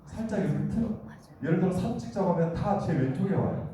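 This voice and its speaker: A man's voice: sits high, lively intonation, quick pace, warm tone, a little hiss or hum. A man giving a lecture to an audience, talking conversationally and often asking the room questions. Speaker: guest lecturer. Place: meeting hall. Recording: echoey hall from far off.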